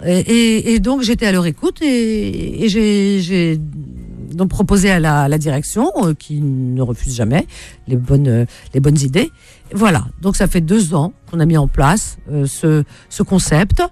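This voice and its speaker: A woman's voice: fairly low pitch, expressive, unhurried, a little mellow, dry recording, faint music behind. A woman hosting a radio call-in, chatting casually and familiarly, often repeating back what she is told. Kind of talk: casual live radio chat.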